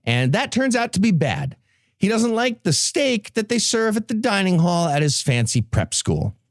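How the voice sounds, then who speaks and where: Man, podcast host, higher-pitched voice, swooping intonation, sarcastic, profane, comedic recap, dry close sound. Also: big pitch swings, normal speed, dry recording. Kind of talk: comedic book recap to co-hosts.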